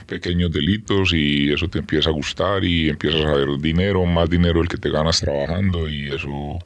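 A man's voice: rumbling low, fairly even intonation, fairly quick. A man with a Colombian accent, answering interview questions in short, matter-of-fact statements. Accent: Colombian Spanish.

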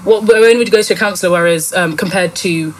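A woman's voice: fairly low pitch, quick pace, a little hiss or hum, low-quality recording. A young woman hosting a casual podcast chat, asking long rambling questions and correcting herself as she goes. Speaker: podcast host.

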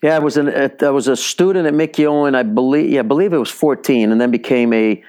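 Smooth-voiced man telling stories. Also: medium pitch, fast talker, darkish tone. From Chicago, US. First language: Italian. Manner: rambling, many fillers and restarts. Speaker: baseball instructor, podcast guest. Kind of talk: casual interview storytelling.

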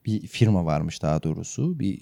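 A man's voice: deep voice, animated, normal speed, gravelly, mellow, no echo. A man hosting a chatty podcast, speaking in playful, tongue-in-cheek and elaborately formal phrases. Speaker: podcast host. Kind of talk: playful banter with a mock-formal radio introduction.

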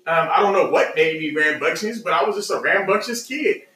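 A man's voice: a little high, animated, fairly quick, sharp tone. An adult man casually telling family stories, candid and repeating himself as he goes. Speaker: podcast host. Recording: roomy echo, slightly distant mic.

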